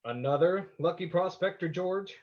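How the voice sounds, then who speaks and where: A man playing a composed, shrewd amateur sleuth in an old-time radio drama, commanding and deliberate, on a lo-fi recording. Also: sits high, natural voice. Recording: dull lo-fi recording.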